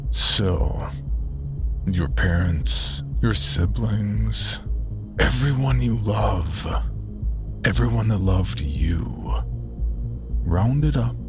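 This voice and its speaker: Deep, gravelly vampire lord, cold, imperious, menacing, audio drama. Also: male voice, exaggerated voice. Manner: slow, menacing, near-whispering at times.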